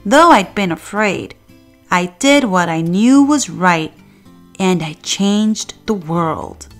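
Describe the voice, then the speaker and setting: A woman narrating a children's picture book in an expressive, deliberate storytelling manner. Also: mid-range voice. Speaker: children's read-aloud narrator. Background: faint music.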